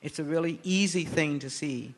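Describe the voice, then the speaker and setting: An older American woman answering interview questions as a guest, speaking in a measured, emphatic, oratorical way. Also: low voice. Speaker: interview guest, political activist. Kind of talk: live on-stage interview answers.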